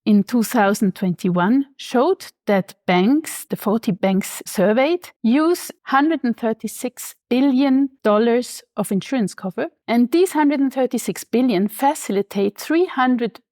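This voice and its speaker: Swiss woman banker in an interview, highish voice, dry close sound, calm and precise. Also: dry recording. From Switzerland. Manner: measured, precise, explanatory. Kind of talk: explaining finance in an interview.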